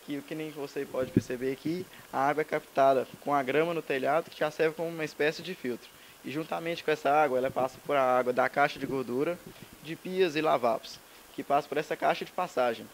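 Teen boy, quick talker, technical explanation, faint noise. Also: fairly high pitch. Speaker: student presenter. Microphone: handheld mic.

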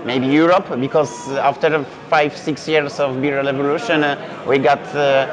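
A man's voice: fairly high pitch, flat delivery, moderate pace. A young man with a Polish accent, a native Polish speaker, answering interview questions in English with many fillers and restarts. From Poland. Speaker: brewery founder. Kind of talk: festival interview. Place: indoor beer festival hall. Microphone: lapel mic. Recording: dull lo-fi sound with festival crowd chatter.

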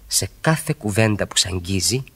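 A man acting in a radio drama as a smitten middle-aged married man, ardently and theatrically flattering a female friend, repeating phrases for effect. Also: low voice, breathy edge, full character voice. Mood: fervent flattery.

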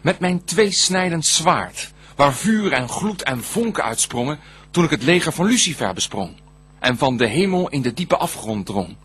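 An actor playing a proud, martial archangel, declaiming heroic verse in a radio play. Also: male voice, on the high side, big pitch swings, normal speed, a bit bright, slightly rough, heavily acted. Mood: proud, defiant.

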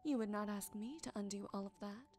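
A woman playing a gentle, wise forest witch in an ASMR roleplay, soothing, unhurried and tender, softly teasing. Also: mid-range voice, faint music behind, natural voice. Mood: tender, reassuring.

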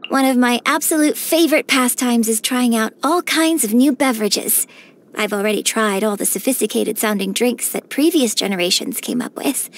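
A woman voicing a graceful, gentle and cheerful young female opera singer in a game, with polite, elegant diction. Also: fairly high pitch, normal speed. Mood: pleased, polite. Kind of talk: scripted game character voice lines. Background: background music.

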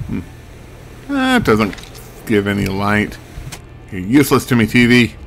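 A man's voice: lowish voice, wildly swooping pitch, unhurried, gravelly, a bit bright, slight background noise. A man giving casual, rambling video game commentary in a wry, musing way, thinking aloud. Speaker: game streamer.

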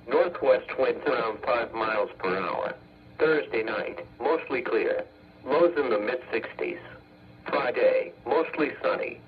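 Muffled synthetic male weather radio voice. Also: mid-range voice. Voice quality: synthetic text-to-speech voice. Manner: measured, formulaic, segmented phrases. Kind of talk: automated weather bulletin reading.

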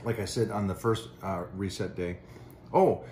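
A middle-aged man in casual chat at his home kitchen counter, calmly explaining his meal plan between bites. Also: lowish voice, normal speed, a little hiss or hum. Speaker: bodybuilder vlogger. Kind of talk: casual chat over breakfast.

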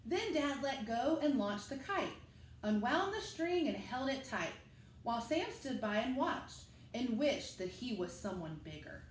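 A middle-aged woman, a school teacher, reading a picture book aloud to children and speaking to them directly in an animated way. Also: a little high, a little slow. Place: living room at home, indoors. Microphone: camera a few metres away. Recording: echoey room with faint noise.

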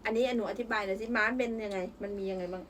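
A woman's voice: mid-range voice, fairly even intonation, racing. A young mother reading a picture book aloud with her child, asking encouraging sing-song questions. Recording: voice picked up from a distance with faint noise.

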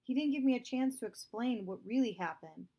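A woman reading aloud, voicing an anxious son who hesitates and stammers, pitched higher than her narration. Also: lively intonation, a little fast, warm tone. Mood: defensive. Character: anxious young son caught in a lie.

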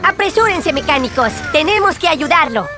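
A woman's voice: sits high, lively intonation, moderate pace, music in the background. A woman playing a cheerful, helpful gecko mechanic in a children's cartoon, exclaiming and explaining things to young viewers. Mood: excited, urgent. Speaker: cartoon lead and narrator. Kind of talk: animated children's show dialogue dubbed in Spanish.

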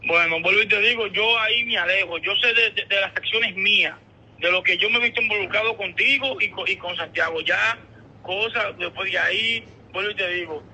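A man's voice: high voice, a little fast, bright, forceful tone, slightly rough. A Dominican man in a casual phone conversation, explaining himself hesitantly and repeating words, heard tinnily through a phone speaker. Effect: heard over a phone speaker. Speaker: caller. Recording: tinny, muffled phone call on speakerphone.

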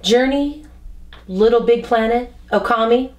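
A young woman acting a dry, confident geek with deadpan delivery in a scripted comedy sketch. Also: fairly high pitch, unhurried, natural voice. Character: dry, confident female geek who trumps the men. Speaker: actor in a web comedy sketch. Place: small furnished room indoors. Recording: echoey room sound.

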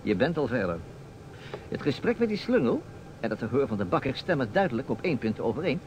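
A man's voice: medium pitch, fast, close to an everyday voice, rough recording. A man acting a playful, argumentative storyteller who steers a detective game in a Dutch radio play. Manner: animated, theatrical. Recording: old radio recording, faint noise.

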